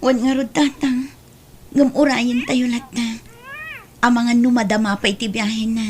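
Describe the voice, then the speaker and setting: A woman's voice: fairly high pitch, flat delivery, measured pace, slight background noise, lightly acted. A woman playing an anxious, meek young daughter in an audio drama, speaking plaintively. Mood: anxious, pleading.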